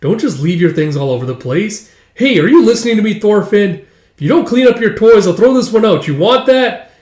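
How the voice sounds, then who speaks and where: A man's voice: fairly high pitch, expressive, a little fast, dark tone, close to an everyday voice. A man voicing an exasperated older sister who scolds a small boy impatiently. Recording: echoey room.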